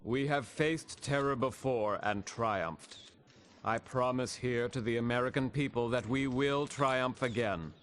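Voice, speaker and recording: A man's voice: mid-range voice, background noise, natural voice. Man playing a solemn, resolute president. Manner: oratorical, deliberate. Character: male American president, solemn, resolute orator. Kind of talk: scripted political speech in a game.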